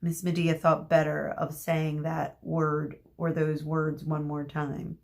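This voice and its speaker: A woman narrating a children's story aloud like a teacher, expressive, dramatic and deliberate. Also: deep voice, gravelly. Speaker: teacher reading aloud to students.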